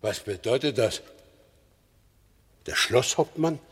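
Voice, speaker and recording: An actor in a German radio play as a proud, embittered and resigned outlaw horse dealer, speaking gravely and formally. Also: male voice, medium pitch, animated, slow pace. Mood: weary, disillusioned.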